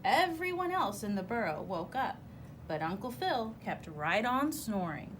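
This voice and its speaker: A woman narrating a children's storybook in an expressive storytelling manner. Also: a little high, measured pace, background noise.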